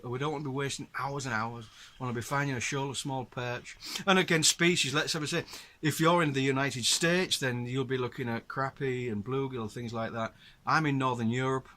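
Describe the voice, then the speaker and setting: A man's voice: medium pitch, fairly even intonation, fairly quick, few pauses, bright, forceful tone. A middle-aged man from the north of England with a Northern English accent, chatting patiently to viewers as he explains his gear. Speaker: host. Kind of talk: casual show-and-tell demonstration.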